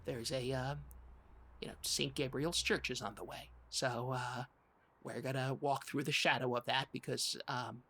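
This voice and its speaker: A performer playing an emotional, loyal and oddly intense podcast co-host, halting and dramatic in improvised comedy dialogue. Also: mid-range voice, fairly even intonation, pushed and bright. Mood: awkward, intense.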